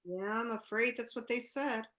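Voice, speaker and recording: Woman as a bright-voiced, long-suffering wife. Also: fairly high pitch, normal speed, natural voice. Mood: apologetic, insistent. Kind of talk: campy 1940s radio noir acting.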